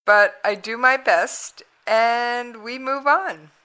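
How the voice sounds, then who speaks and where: A woman's voice: a little high, takes it slowly, pushed and bright, clean tone, rough recording. Chatty woman host losing her voice. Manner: chatty, folksy. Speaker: radio show host. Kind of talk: casual radio talk.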